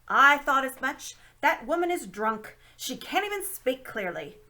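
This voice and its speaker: Storybook aunt, played by a woman reader: elderly, tough, no-nonsense, forceful bright tone, animated delivery. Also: high voice, pitched above the performer's own voice, exaggerated voice. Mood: indignant. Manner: brisk, commanding, no-nonsense. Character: tough, indignant old aunt, bossy and practical.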